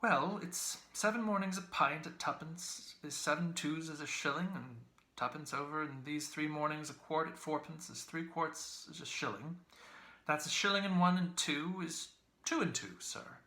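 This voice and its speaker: A man's voice: fairly high pitch, moderate pace, pushed and bright, roomy sound, voice pushed up. A man reading a novel aloud, voicing a humble, deferential old Irish milkwoman with a simple, polite manner. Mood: counting, deferential. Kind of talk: literary audiobook character reading.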